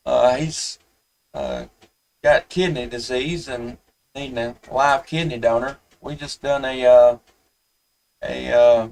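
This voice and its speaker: A man with a Southern US accent talks in a folksy, halting manner, as in a casual ham radio exchange. His voice sits at an ordinary male pitch and is picked up a little way from the microphone. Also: lively intonation, gravelly, darkish tone, distant mic, rough recording. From Tennessee, United States. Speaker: amateur radio operator, live streamer.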